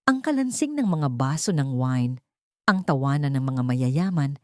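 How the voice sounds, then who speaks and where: A woman's voice: sits low, darkish tone, dry recording, clean studio sound. A woman reading a scripted dramatic love story as its narrator.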